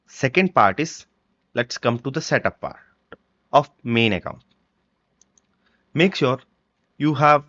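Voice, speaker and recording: Male software trainer, halting step-by-step delivery, medium pitch, dry but muffled recording. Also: dry recording. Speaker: software tutorial presenter. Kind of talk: step-by-step screen-recording walkthrough. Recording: dull, lo-fi microphone sound.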